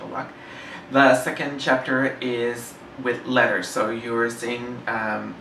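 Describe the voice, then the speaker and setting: A man's voice: medium pitch, a bit bright. A young man whose first language is French, chatting casually about a book, rambling and correcting himself as he goes. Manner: rambling, self-correcting, enthusiastic. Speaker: book reviewer. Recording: roomy, slightly distant mic, faint hiss.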